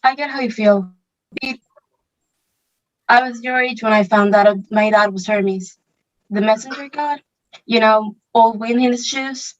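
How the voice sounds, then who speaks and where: A woman's voice: a little high, a little slow, pauses often, highs cut off, lightly acted. A woman playing a cool, wry teenage bad-boy camp counselor in an online table read of a stage musical. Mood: wry, reassuring.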